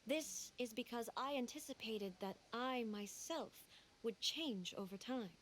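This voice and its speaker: A woman voicing a composed, regal female deity in a game, measured and formal. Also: fairly high pitch, slight background noise, lightly acted. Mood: solemn. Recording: game audio with music behind.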